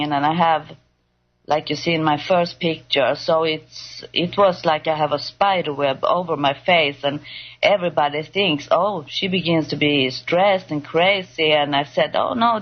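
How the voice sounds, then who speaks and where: A woman from Sweden with a Swedish accent, speaking English as a second language, telling her story as a radio guest, pausing often to search for words. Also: low-pitched, muffled recording. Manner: halting, searching for English words, many fillers. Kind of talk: personal testimony on a call-in show.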